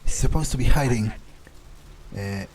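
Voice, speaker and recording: Blunt, sweary male gamer, mid-pitched. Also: darkish tone, slight background noise, poor audio. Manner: gruff, blunt, sweary, halting musing. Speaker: gamer playing in character. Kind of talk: in-character video game playthrough, thinking aloud.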